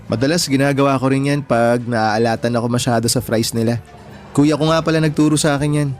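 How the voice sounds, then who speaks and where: An actor plays a humble young Filipino man with a medium-pitched voice in a radio love story. He talks in a modest, easygoing way over a light music bed. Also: fairly even intonation, brisk, natural voice. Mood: easygoing, grateful. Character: humble, shy young male janitor on a first date. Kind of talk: Filipino radio romance drama dialogue. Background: background music.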